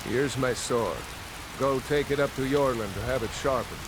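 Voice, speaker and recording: A man's voice: mid-range voice, normal speed, natural voice. A man playing a stern, disdainful warrior in a fantasy game, curt and haughty, recorded with noise behind him. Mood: condescending. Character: stern, disdainful male warrior, skeptical of newcomers. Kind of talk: fantasy video game acting. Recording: noisy, with rain and thunder.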